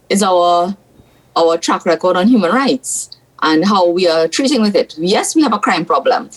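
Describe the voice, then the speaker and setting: A woman's voice: fairly low pitch, rough recording. A woman from South Trinidad with a Trinidadian accent, a senator giving blunt, frank opinions as a guest on a political talk show.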